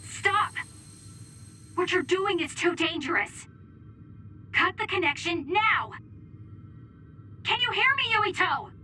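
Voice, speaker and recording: A woman's voice: very high voice, expressive, slow pace, long pauses, bright, forceful tone, recorded from a distance, a little hiss or hum, heavily acted. A woman voicing a stern, commanding young female soldier in an anime-style game cutscene. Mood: urgent, commanding.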